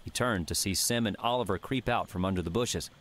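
A man's voice: fairly low pitch, brisk, fairly bright tone. A man narrating an adventure novel as a scripted audiobook reading. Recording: faint background noise and ambience.

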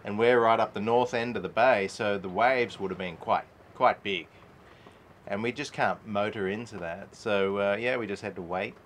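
A man's voice: lowish voice, normal speed, fairly bright tone, a little hiss or hum. Chatty middle-aged man on a boat. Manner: chatty, rambling, exclaims often. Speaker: sailing vlogger. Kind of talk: casual vlog chat. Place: on a sailboat at night, then in the cabin.

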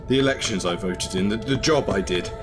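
Radio drama lead male actor: sceptical, shaken everyman just awakened, medium-pitched voice, clear music bed. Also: fairly quick, natural voice. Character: shaken, sceptical man newly awakened from brainwashing.

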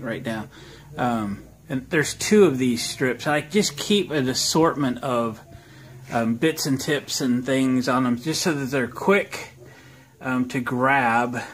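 A man hosting a casual DIY tool demonstration in an informal, folksy way, thinking aloud as he talks. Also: medium pitch. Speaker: DIY workshop host. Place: driveway and open garage workshop. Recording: clear background noise, outdoor ambience.

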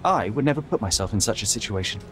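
An actor playing a stern young detective in a video game, cold and righteous, speaking in a clipped, accusatory, sardonic manner. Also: male voice, fairly low pitch, lively intonation, quick pace, a bit bright, faint music behind. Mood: sardonic, accusing. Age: young adult. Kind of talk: video game cutscene acting.

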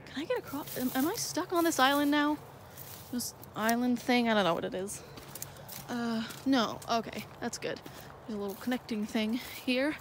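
A woman's voice: a little high, normal speed. A young woman vlogging on a night walk through a marshy wood, talking hesitantly with many fillers into a handheld phone. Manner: rambling, hesitant, lots of fillers. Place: outdoors in a dark wooded marsh at night. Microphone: phone held in hand. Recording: noisy outdoor phone recording.

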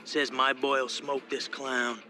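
Cocky, sneering young street racer villain. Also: male voice, medium pitch, little pitch movement, slow. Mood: dismissive. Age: young adult. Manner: sneering, mocking. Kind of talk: video game cutscene acting. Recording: loud music over the voice.

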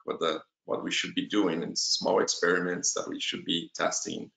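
A man's voice: deep voice, monotone, moderate pace, vocal fry, pushed and bright. A man with a Brazilian accent, a native Portuguese speaker, talking casually as a panelist on a video call. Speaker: technology executive, panelist. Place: home office, on a video call. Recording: roomy, echoey video-call sound.

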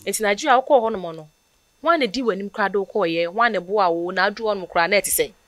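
A young Ghanaian woman with a Ghanaian accent hosting a casual outdoor interview, lively and laughing, with many short acknowledgements, on a headset mic. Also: medium pitch, fast, no echo. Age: young adult. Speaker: interviewer host. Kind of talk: casual interview in Twi mixed with English. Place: outdoor porch by brick wall.

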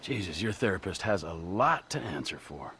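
A middle-aged maniac from a crime game, sarcastic and volatile. The voice is gravelly and sharp, swinging wildly in pitch with a mocking drawl, faint music behind. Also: male voice, very animated, moderate pace, a little hiss or hum, heavily acted. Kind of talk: video game cutscene acting.

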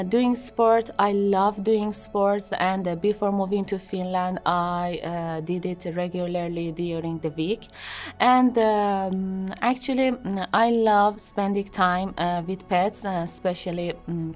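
A woman in her thirties from Iran whose first language is Persian speaks slowly, with a mid-range pitch and frequent hesitant fillers. She introduces herself to camera, seated in a studio. Faint music plays behind her. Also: fairly even intonation, a little mellow. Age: 30s. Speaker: job seeker introducing herself. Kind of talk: unscripted self-introduction to camera. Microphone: seated, medium shot.

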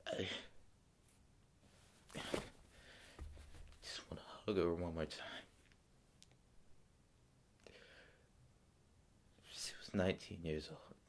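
A teenage male performer playing a grieving, bitter ex-convict father in a halting monologue. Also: deep voice, fairly even intonation, vocal fry, slightly rough, full character voice. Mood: anguished, angry. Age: teenager playing older. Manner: halting, hushed delivery that strains into bursts.

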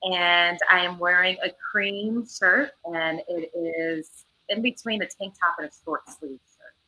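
Woman panelist, bright tense tone, lowish pitch, friendly, unhurried, video-call audio from home. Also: rough recording. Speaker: panelist, film director. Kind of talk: self-description on a video panel. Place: indoor room at home.

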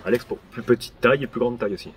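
A man's voice: mid-range voice, rapid-fire, far from the mic, a little hiss or hum. A man in his thirties, a guest who makes wooden stands, giving brief, agreeable replies in a casual chat outdoors. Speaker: woodworking guest. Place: outdoors in a garden.

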